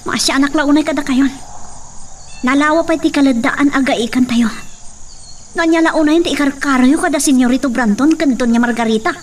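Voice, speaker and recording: A young heroine played by a woman speaks in a very high voice, protesting with anguish and defiance. The acting is melodramatic radio drama, a bit away from the mic with faint insect noise. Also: normal speed, lightly acted. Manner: emotional, protesting. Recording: distant voice, faint background noise.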